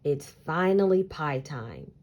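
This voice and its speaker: Slow, deep-voiced woman reading a picture book. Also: expressive, a little mellow, highs cut off. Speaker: picture book read-aloud reader. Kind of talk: expressive children's story read-aloud.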